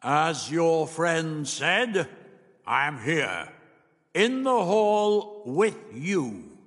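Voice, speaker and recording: A booming invisible alien, stern and commanding. It draws each word out very slowly, pitched above a normal man's talk, in a long reverberant echo. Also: big pitch swings, a bit bright, full character voice. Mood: calm, commanding. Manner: very slow, stretched-out delivery.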